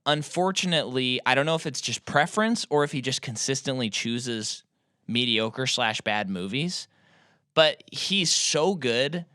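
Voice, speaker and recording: A man's voice: mid-range voice, animated, unhurried, bright, forceful tone, no echo, clean studio sound. A man co-hosting a movie podcast, chatting casually and explaining with enthusiasm. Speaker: podcast co-host. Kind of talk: casual movie-review chat.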